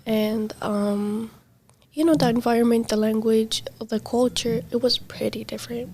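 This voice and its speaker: Brief: young woman, Arabic/Kurdish first language, flat mellow delivery, hesitant, faint room noise. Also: a little high. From Middle East. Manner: halting, many fillers and soft trailing words. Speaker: interviewee. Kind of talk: casual conversation.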